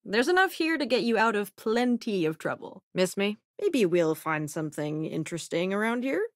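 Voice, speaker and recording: A woman's voice: medium pitch, big pitch swings, moderate pace, no echo, studio quality, lightly acted. A woman playing a self-assured young robotics researcher aboard a spaceship in a video game, with a wry, casual delivery. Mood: confident, conversational.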